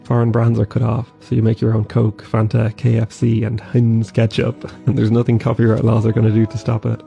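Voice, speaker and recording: A man's voice: mid-range voice, monotone, fairly quick, creaky, dark tone. A man reading a wry, scripted travel narration addressed to a country. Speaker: travel vlogger. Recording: dull lo-fi voice-over with faint music.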